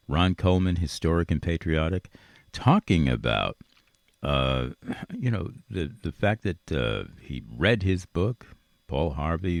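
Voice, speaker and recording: Deep-voiced, animated older male radio host. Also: gravelly, no echo. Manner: earnest, preachy, emphatic, addresses listeners directly. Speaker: radio talk show host. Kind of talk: reflective motivational monologue to listeners.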